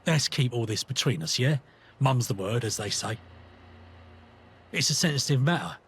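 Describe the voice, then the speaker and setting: A man's voice: medium pitch, expressive, normal speed, fairly bright tone, close to an everyday voice. Guy voicing a british game companion, matey rebel type, earnest n torn, bit of noise behind him. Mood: grateful, reflective. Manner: matey, colloquial, reflective. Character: earnest, conflicted British male rebel confiding in a mate. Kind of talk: scripted video game companion dialogue.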